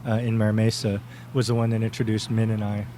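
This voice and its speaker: A man's voice: on the low side, level tone, fast talker, dark tone, a little hiss or hum. A young man chatting casually as a podcast guest, rambling with many fillers and easy laughs. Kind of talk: casual interview chat.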